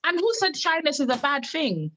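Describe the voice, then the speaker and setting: A woman from Uganda, giving an emphatic, repetitive motivational pitch on a live call. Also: sing-song, moderate pace, fairly bright tone, a little hiss or hum. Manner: emphatic, repetitive, testimony-driven. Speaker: wellness business coach on a live call.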